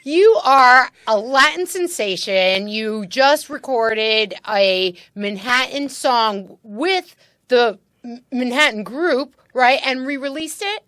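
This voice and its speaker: A woman's voice: fairly high pitch, animated, slow pace, a bit bright, no room sound, studio quality. A woman interviewing a guest in a bubbly, enthusiastic way.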